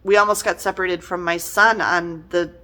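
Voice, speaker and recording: A middle-aged woman giving a casual, conversational book review in an animated, exasperated and emphatic manner. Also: lowish voice, moderate pace.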